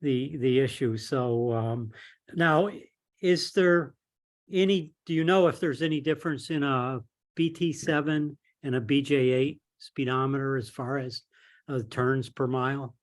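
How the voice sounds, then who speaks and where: A man on a conference call discussing a technical problem casually, with halting, hesitant phrasing. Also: medium pitch. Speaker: club member asking for technical help. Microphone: telephone or conference call line.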